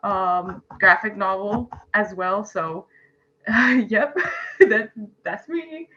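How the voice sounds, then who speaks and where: A woman with a bright, forceful voice introduces herself in a loose, chatty way, with plenty of fillers and easy laughter. The sound is muffled and lo-fi, like a video call. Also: mid-range voice, very slow, a little hiss or hum. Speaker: webcomic creator on a panel. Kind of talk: casual panel self-introduction.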